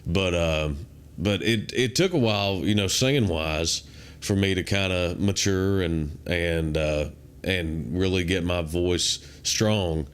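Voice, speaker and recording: Young man, very low and smooth voice, easygoing drawl, casual guest chat. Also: slow pace. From Kentucky. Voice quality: naturally deep voice. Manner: laid-back, drawling, many fillers like "man" and "uh". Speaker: country singer, guest.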